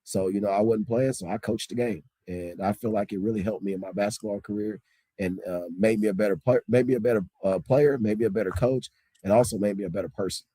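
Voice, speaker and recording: A man, a high school basketball coach, talking casually in an interview, emphatic and repeating points for stress. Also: quick pace, dark tone, husky, dry recording, poor audio. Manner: strict, old-school tone.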